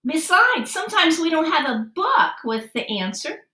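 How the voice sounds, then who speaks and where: A cocky storybook schoolgirl, played by a woman reader, insistent and sure of herself. Her voice is high and bright, swinging emphatically as she argues. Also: big pitch swings, moderate pace, full character voice. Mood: smug. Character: stubborn, cocky schoolgirl who trusts the internet. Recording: roomy, echoey sound.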